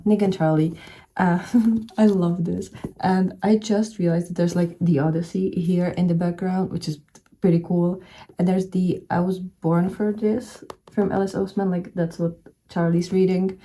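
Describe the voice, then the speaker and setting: A woman's voice: lowish voice, steady tone, normal speed, dark tone, slight background noise. A young woman with a Czech accent, chatting in a book vlog, rambling with many fillers and restarts. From Czech Republic. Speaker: book vlogger. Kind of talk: casual reading vlog chat. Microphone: camera showing only her hands.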